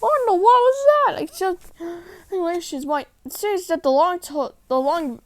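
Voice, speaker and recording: A woman's voice: very high voice, lively intonation, moderate pace, slight background noise. A woman acting a flustered, anxious character in an amateur improvised drama, stumbling over words and correcting herself.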